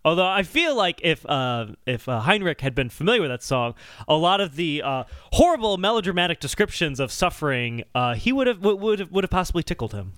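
A man hosting a casual comedy podcast, explaining things haltingly with dry jokes. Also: on the high side, very animated, fairly bright tone, dry recording. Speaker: podcast host.

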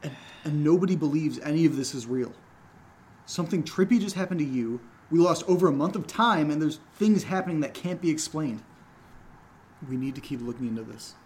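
A man's voice: a little high, expressive, fairly quick, a little mellow, natural voice. An actor playing an earnest, exasperated man set on investigating, in a naturalistic horror audio drama. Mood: earnest, defensive.

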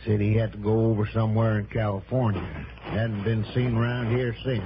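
A man's voice: lowish voice, flat delivery, a little fast, close to an everyday voice. Really noisy muffled old radio drama, guy playing a folksy american desert local, sure of himself, plain talker. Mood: matter-of-fact. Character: folksy, confident male desert local guiding an investigator. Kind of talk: 1960s radio drama acting.

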